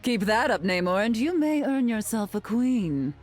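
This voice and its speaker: A woman's voice: on the high side, big pitch swings, moderate pace, faint music behind. An actress playing a haughty, sardonic, glamorous telepathic queen in a video game, drawling with dry mockery. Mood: imperious, mocking. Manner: haughty, drawling, dryly mocking. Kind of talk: video game character voice lines.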